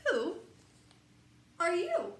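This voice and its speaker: A young woman reading a children's bedtime picture book aloud in an expressive, sing-song, teacherly way, emphasizing key words. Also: extremely high pitch, very slow. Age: young adult. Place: small glassed-in sunroom. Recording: roomy echo, light background noise.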